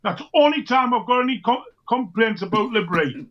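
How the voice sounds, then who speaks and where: A man's voice: very high-pitched, animated, fairly quick. A man co-hosting a casual fan chat, making firm, emphatic points. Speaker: co-host. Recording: dull lo-fi line.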